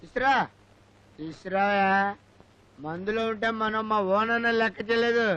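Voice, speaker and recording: A man's voice: high voice, moderate pace, sharp tone, clear, resonant tone. An actor playing a belligerent drunken man in a Telugu comedy film. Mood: demanding, drunken. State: acted drunkenness. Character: belligerent drunken man demanding more liquor. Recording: dull film soundtrack, faint music.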